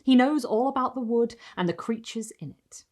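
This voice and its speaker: A woman reading a gothic short story aloud, measured and expressive, lingering on phrases. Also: a little high. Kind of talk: literary audiobook-style narration of gothic fiction.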